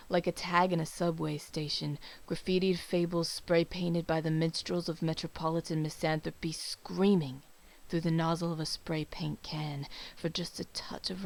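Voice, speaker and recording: A woman with a low voice reads poetry as dramatic spoken word. Her voice has plenty of gravelly creak, and she delivers the phrases in a measured, solemn way, letting their endings thin out toward a whisper. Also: poor audio. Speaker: poetry reader.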